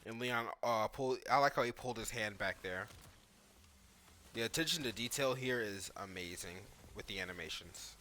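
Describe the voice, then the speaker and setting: A man's voice: lowish voice, normal speed, bright, forceful tone, a little hiss or hum. A man giving casual, analytical commentary on video game footage.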